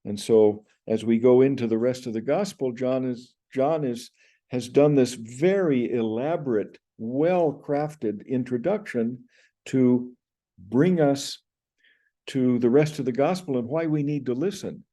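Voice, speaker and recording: A man's voice: medium pitch, unhurried, mellow. An older man teaching Greek pronunciation in an explanatory lecture, speaking to a webcam indoors. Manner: explanatory, gestures with hands, recites Greek sounds.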